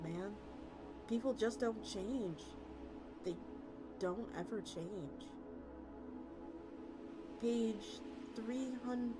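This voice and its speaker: A woman livestreamer reading a story aloud with acted dialogue and chatting casually with her viewers. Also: mid-range voice. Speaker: VTuber streamer. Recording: music playing behind the voice. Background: music.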